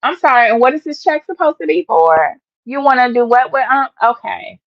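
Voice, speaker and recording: A woman hosting an online news show in casual livestream chat, slightly hoarse, emphatic and given to repeating her points. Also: high voice, very animated, a little fast, dry recording. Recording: dull lo-fi, dry sound.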